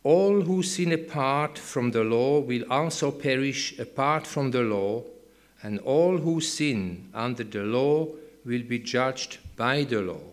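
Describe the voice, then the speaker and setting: A man with a Swiss German accent, reading a Bible passage aloud in a church service in a measured, careful way. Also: mid-range voice, lively intonation. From Switzerland. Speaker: Bible reader. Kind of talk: slow scripture reading in church.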